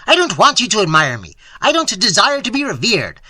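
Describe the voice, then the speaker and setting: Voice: mid-range voice, huge pitch swings, normal speed, sharp tone, slightly rough, no room sound, heavily acted. A narrator voicing an irascible older male painter who rants and craves quarrels, with an explosive, barking delivery, in a story reading. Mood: furious, shouting.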